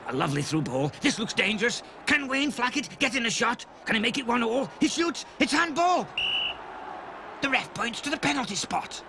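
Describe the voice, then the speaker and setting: A man voicing an excitable football commentator with a gravelly voice. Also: very high-pitched, moderate pace, heavily acted. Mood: excited. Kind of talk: mock sports commentary in a story. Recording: noisy recording with ambience.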